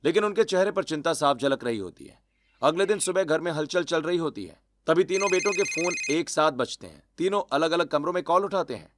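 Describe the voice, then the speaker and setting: High-pitched male story narrator. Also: expressive, fairly quick, noisy background. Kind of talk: scripted cartoon story narration.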